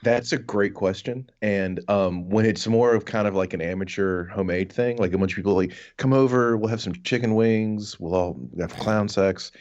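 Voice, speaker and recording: A man with a very deep voice talks casually on a comedy podcast. He explains silly things as if they were perfectly serious. The sound is a little dull. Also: moderate pace, hardly any pauses, a little mellow. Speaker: podcast co-host.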